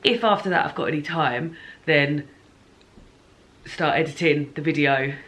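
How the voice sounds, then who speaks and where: A woman's voice: deep voice, a little slow, a bit bright. A woman chatting casually in a home vlog diary about her plans and reading, rambling and correcting herself as she goes. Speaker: book vlogger.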